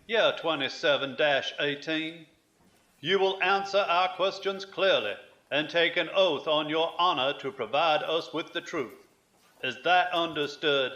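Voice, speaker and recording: An actor playing a stern, ceremonious commodore presiding over a military tribunal in a science fiction audio drama, formal and authoritative. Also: male voice, a little high, sharp tone. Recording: roomy, slightly dull sound.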